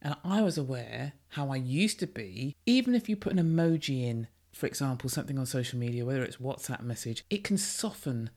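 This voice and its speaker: Deep, strong-voiced British woman with creak. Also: expressive, brisk, few pauses, a little mellow. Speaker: podcast host. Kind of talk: personal development podcast monologue.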